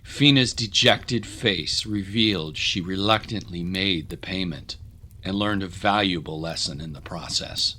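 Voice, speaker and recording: Male narrator, lowish pitch, bright forceful tone, measured, solemn, literary storytelling. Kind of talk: scripted dramatic story narration.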